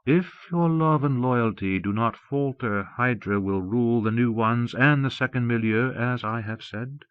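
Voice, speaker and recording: A man's voice: medium pitch, clean tone, no room sound, natural voice. Dull-sounding, imperious disembodied mastermind played by a man. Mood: possessive, commanding. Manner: commanding, slow and emphatic, formal. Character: Fury, imperious, menacing disembodied mastermind, coldly possessive. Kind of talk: audiobook character dialogue. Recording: dull lo-fi cassette audiobook.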